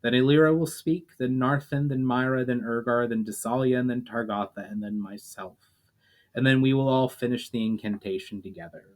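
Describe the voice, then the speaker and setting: A man voicing a solemn male leader who grants elemental powers to his friends, speaking in a measured way. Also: mid-range voice, fairly even intonation, natural voice. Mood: instructing.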